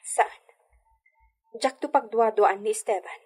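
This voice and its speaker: An actress playing a gentle, devoted, trusting young wife in a radio drama, earnest and plaintive. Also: female voice, fairly high pitch, slow, husky. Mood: hurt, loyal.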